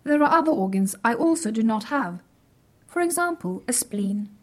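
A woman playing a literal-minded, smug artificial superintelligence in an audio comedy, speaking in a precise, stilted, deadpan way. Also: a little high, moderate pace. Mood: matter-of-fact, lecturing. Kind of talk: scripted audio comedy drama.

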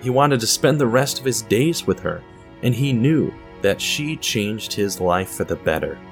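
Animated low-voiced male story narrator. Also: measured pace. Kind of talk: scripted dramatic story narration. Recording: music under the voice.

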